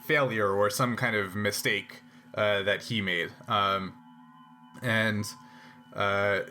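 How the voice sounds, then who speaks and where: A man's voice: lowish voice, fairly even intonation, takes it slowly, pushed and bright, slight background noise. A man improvising narration as game master of a tabletop role-playing game.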